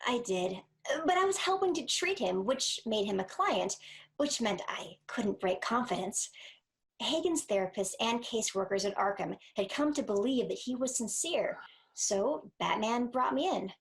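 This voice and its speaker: A woman's voice: a little high, animated, moderate pace. A woman playing a caring, earnest young female superhero therapist in an audio drama. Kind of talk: amateur audio drama acting.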